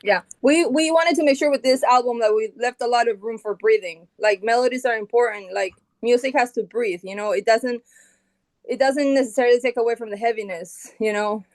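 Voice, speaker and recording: A woman's voice: on the high side, brisk, no room sound. A middle-aged woman from Colombia, a founding member of a band, chatting casually in an interview and thinking aloud with plenty of fillers and restarts.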